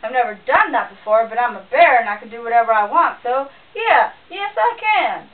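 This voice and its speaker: A booming, bragging bear who drags out every boast, as a woman puts him on. The sound is thin and phone-like, and it comes from a few steps away from the mic. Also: lively intonation, moderate pace, close to an everyday voice. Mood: boastful. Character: boastful big male bear, loud and proud. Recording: tinny lo-fi recording from a distance.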